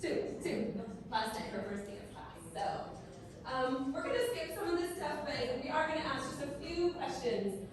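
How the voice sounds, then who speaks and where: A woman's voice: sits high, lively intonation, normal speed. A woman, a district STEM director giving a chatty conference presentation and asking the audience questions. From Trinidad and Tobago. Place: conference hall. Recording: echoey hall, mic far, crowd behind.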